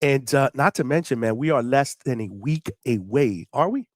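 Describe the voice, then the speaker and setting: A middle-aged man hosting a live boxing call-in stream, chatting casually in hyped, slangy talk with shout-outs and free swearing. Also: medium pitch, lively intonation, normal speed, no room sound, studio quality. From New York City, United States. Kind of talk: casual livestream chat with viewers.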